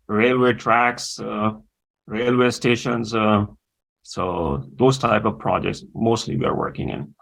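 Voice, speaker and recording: Man, Urdu speaker, fry in the voice, hesitant delivery, interview guest. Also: on the low side, fairly bright tone. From Pakistan. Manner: halting, many pauses and fillers. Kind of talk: interview conversation.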